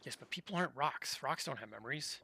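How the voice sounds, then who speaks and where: A man's voice: medium pitch, animated, quick pace, creaky, background noise. A man giving casual live gameplay commentary as a streamer, playfully quipping along with the game. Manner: playful, echoes and quips at game dialogue. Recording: game music behind voice. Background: video game music.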